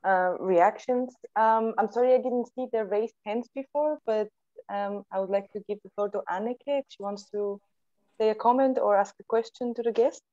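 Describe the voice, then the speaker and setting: Lady moderator on a call, mellow voice, dry and kinda muffled. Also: mid-range voice, normal speed, dry recording. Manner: hesitant, many ums and restarts. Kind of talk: panel moderation over video call.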